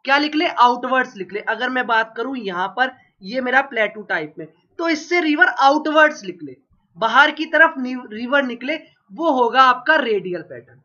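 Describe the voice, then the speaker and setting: A man's voice: very high-pitched, animated, moderate pace, sharp tone. A man giving an exam-prep geography lecture with a sore throat from a cold, coughing now and then and drilling each point by asking and repeating the answer. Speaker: geography teacher. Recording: lo-fi dull recording.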